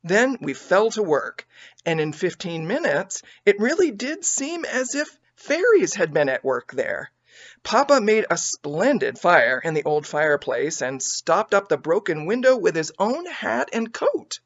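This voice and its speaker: A woman reading a children's story aloud as an expressive audiobook narrator. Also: lowish voice, unhurried, lo-fi sound. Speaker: audiobook reader.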